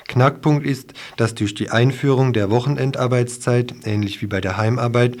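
A male radio presenter reads out a scripted news report. His voice sits at an ordinary middle pitch, and he delivers the piece as a studio newsreader would. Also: a little mellow.

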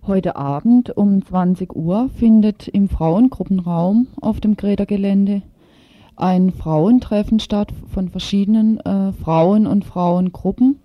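Female radio interviewer, unhurried, medium pitch, introducing in a loosely prepared way, slightly muffled lo-fi sound. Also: dry recording. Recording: dull lo-fi radio recording.

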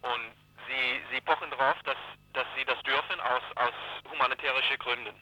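Man, very bright forceful voice, mid pitch, halting, spontaneous interview answers. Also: steady tone, dry recording, poor audio. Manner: halting, searching for words. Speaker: activist interviewee.

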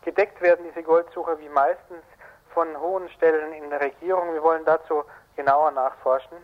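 A male radio reporter with a fairly high voice previews news stories in a halting way. He comes through a dull, telephone-like link from a remote office. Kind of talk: live news preview by link from a branch studio.

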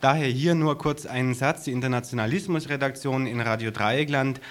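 A man making an unscripted radio announcement in a studio, with a nervous, somewhat halting, wordy delivery. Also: mid-range voice. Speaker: radio editorial team member, guest.

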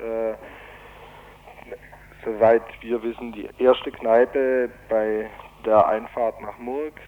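A man with a fairly low voice speaks very slowly and deliberately, hesitating as he gives out details. There is faint noise behind him. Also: darkish tone, poor audio. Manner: halting, deliberate. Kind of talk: unscripted spoken announcement.

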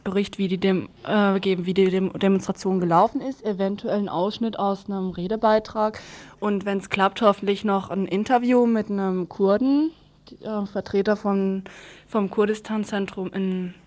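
A woman speaks as a radio reporter, giving an unscripted preview at an average female pitch. She is halting, often breaking off and correcting herself. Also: steady tone, a little mellow.

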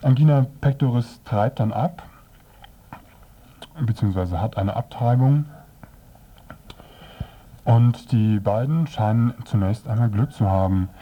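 A man presenting a scripted review on the radio as narrator, with measured, halting phrasing. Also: medium pitch, lo-fi sound.

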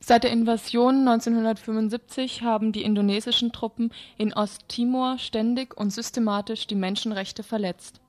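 A woman's voice: on the high side, steady tone, moderate pace, hardly any pauses, darkish tone. Woman presenting a radio news report. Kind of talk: news magazine narration, scripted.

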